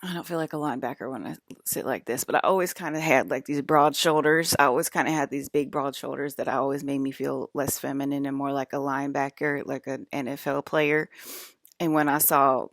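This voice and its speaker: A woman's voice: very deep voice, steady tone, a little fast, dry recording, studio quality. A woman in her fifties talking straight to her viewers in a rambling, confrontational monologue, full of fillers and swearing. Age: 50s. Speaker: video blogger.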